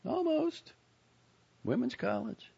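A man voicing a wry, defensive young woman in an audiobook story, with a dry, sharp-tongued manner. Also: very high-pitched, sing-song, slow, vocal fry, husky, highs cut off, pitched above the performer's own voice, heavily acted.

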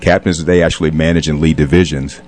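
A man's voice: very deep voice, fairly even intonation, fast talker, a little mellow. A man speaking as a guest in a casual radio interview, polite and earnest. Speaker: police captain, interview guest. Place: radio studio.

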